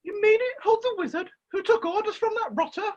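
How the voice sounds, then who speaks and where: A woman playing an excitable, bustling pantomime dame and mother, exclaiming broadly and theatrically over a video call. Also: very high voice, brisk. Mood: excited. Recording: dull lo-fi video call audio.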